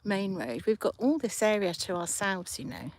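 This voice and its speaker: A woman's voice: mid-range voice, sing-song, a little mellow. A woman, hosting a casual livestream outdoors by a river and chatting with viewers through a handheld phone. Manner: slow, chatty, thinking aloud. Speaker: livestream host. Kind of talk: casual chat with live viewers.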